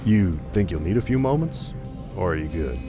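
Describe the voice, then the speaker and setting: A man's voice: low voice, expressive, moderate pace. A man playing an easygoing, encouraging crewmate in a sci-fi audio drama. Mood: reassuring. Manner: casual, encouraging. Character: reassuring, easygoing male crewmate. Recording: music under the voice.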